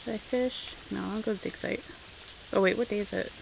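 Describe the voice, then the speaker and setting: A woman's voice: medium pitch, fairly even intonation, a little fast, creaky, noisy background. A woman giving casual let's-play commentary as she games, rambling and thinking aloud to herself. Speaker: video game streamer narrating gameplay.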